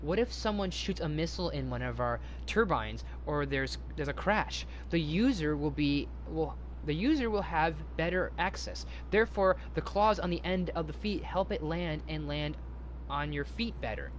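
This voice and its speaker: A man voicing a proud, idealistic young woman inventor in a read-aloud story, earnest and halting. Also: a little high, animated, a little hiss or hum, close to an everyday voice. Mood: earnest, nervous.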